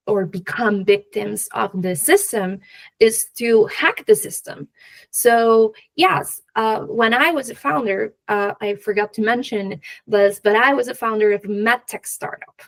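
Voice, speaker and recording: Slow-paced Peruvian woman speaking in conversation. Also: mid-range voice, poor audio. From Peru. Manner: halting, often laughing lightly mid-sentence. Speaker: guest expert, venture capital professional. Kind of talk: conversational expert interview.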